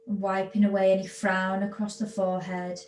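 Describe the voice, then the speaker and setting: A woman yoga teacher giving guided relaxation instructions in a soothing, unhurried manner with long pauses between phrases. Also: medium pitch, monotone, a little mellow. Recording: echoey room with background noise.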